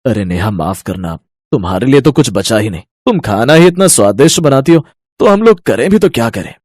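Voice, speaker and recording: A man's voice: medium pitch, very animated, a little fast, darkish tone, no echo, studio quality. An actor playing a gluttonous young man of the family in a cartoon story, effusive and playful. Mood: sheepish. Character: gluttonous, food-obsessed young husband or brother-in-law.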